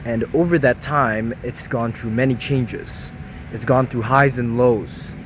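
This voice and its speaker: A man gives a casual, joking introduction, speaking straight to the viewer in a middle-pitched voice. Steady background noise can be heard clearly behind him. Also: a little slow. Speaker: video host.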